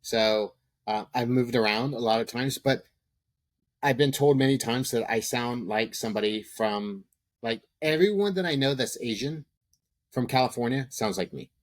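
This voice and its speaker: Candid, loose-talking man on a podcast. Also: mid-range voice. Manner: quick, loose and candid, with casual swearing. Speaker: podcast co-host. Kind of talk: casual chat.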